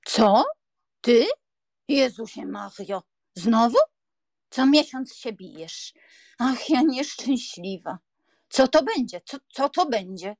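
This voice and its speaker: A woman's voice: a little high, expressive, takes it slowly, long pauses, a little mellow, dry recording, studio quality, heavily acted. A woman playing a pious, fretful elderly aunt in an audiobook drama, scolding, sighing and lamenting.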